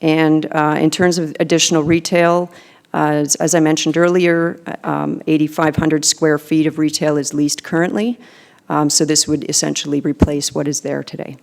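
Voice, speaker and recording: A woman with a Canadian accent, a planning consultant presenting a proposal at a council meeting in a measured way with many fillers. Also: low-pitched, little pitch movement, creaky, a little mellow. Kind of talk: formal presentation and answers.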